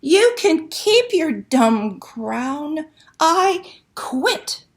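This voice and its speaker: A woman's voice: sits high, big pitch swings, extremely slow, voice pushed up, exaggerated voice. Lady doing a plucky little storybook mermaid, determined, really emphatic, stretches her words out. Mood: defiant, fed up. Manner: emphatic, exclamatory. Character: spirited, determined young mermaid heroine in a picture book.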